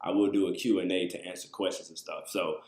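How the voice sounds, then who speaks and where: A man in his thirties with a fairly low voice talks in a loose, conversational way, answering questions from his viewers. The sound comes from a webcam in a small room, with noticeable echo off the walls. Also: steady tone, fast talker, a little mellow. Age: 30s. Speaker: livestream host. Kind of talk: casual live talk to viewers.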